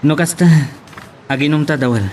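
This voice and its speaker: A man's voice: a little high, normal speed, close to an everyday voice. A man with an Ilocano Filipino accent playing a brooding, frustrated young man in an audio drama. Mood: bitter, frustrated. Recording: faint background noise.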